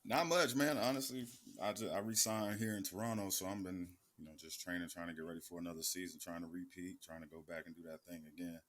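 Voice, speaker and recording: A young man, a football player co-hosting a podcast, chatting in a relaxed and plain-spoken way. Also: low-pitched, fast talker, few pauses. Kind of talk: casual chat.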